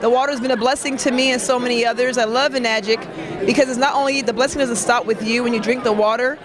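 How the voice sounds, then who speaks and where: A woman from California talks quickly, staying close to one note, but her words are excited and upbeat. A crowd buzzes around her. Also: fairly high pitch, low-quality recording. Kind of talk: testimonial.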